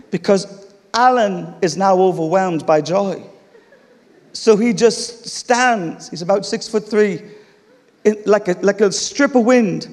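A man with an English accent preaching a sermon, telling a funny story with laughs before turning to teaching. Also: high voice. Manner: humorous, animated storytelling with laughter. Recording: long reverb of a large hall.

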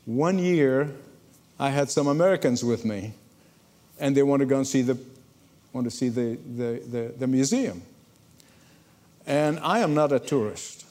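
A man from Egypt, preaching a sermon to a congregation in lively storytelling, often repeating words as he starts a sentence. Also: mid-range voice, a little slow, pauses often. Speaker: pastor. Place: church before a congregation.

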